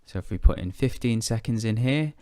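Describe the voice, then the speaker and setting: A man presenting a software tutorial step by step in a measured, methodical way. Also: medium pitch, animated, clear, resonant tone, no room sound, studio quality.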